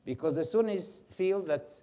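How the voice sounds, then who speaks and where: An older man speaking as a panelist, halting and repeating words as he starts his points. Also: a little high, mellow. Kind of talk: panel discussion.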